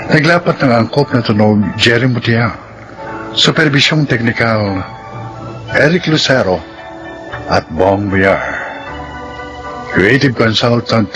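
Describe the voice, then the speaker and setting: A man with a Filipino accent reading scripted introductions and credits as a radio drama announcer, solemn and measured. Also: medium pitch. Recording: music bed under voice.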